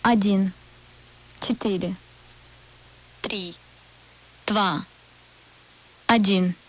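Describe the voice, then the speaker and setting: Woman slowly reading numbers, dull lo-fi radio sound, kinda flat. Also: medium pitch. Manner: flat, measured single-number delivery. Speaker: numbers station voice. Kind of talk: reading digit groups. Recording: dull lo-fi shortwave recording.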